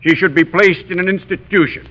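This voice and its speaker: An actor playing a pompous, forceful lawyer arguing in court in a 1930s radio drama. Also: male voice, high voice, steady tone, a little fast, muffled recording.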